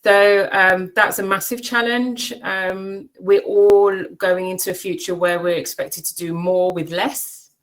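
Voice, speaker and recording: A middle-aged British woman, a chief executive, giving an unscripted online presentation, thoughtful and halting with many fillers. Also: mid-range voice, steady tone, rough recording. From Britain and Cyprus. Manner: thoughtful, many ums and restarts. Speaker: CEO of an education organization.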